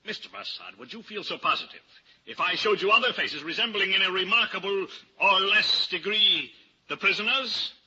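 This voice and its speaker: A cunning, pompous male barrister in an old radio drama. His voice is high and cuttingly bright, with grand courtroom declamation. The sound is band-limited, with faint noise. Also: lively intonation, moderate pace, heavily acted. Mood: probing, formal. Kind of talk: vintage radio drama acting. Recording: dull, noisy old-time radio recording.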